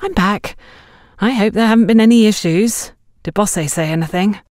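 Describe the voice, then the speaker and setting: A woman's voice: mid-range voice, normal speed, a little mellow, no echo, studio quality, pitched above the performer's own voice, natural voice. A woman voicing a chatty, worldly young shop girl who confides gossip with dramatic flair. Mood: brisk.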